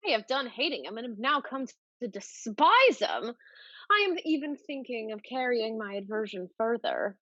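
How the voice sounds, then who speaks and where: A woman acting a proud, scheming society lady in a Restoration comedy, with a theatrical, deliberate delivery. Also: fairly high pitch, sing-song, pushed and bright, no room sound, highs cut off, heavily acted. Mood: arch, teasing.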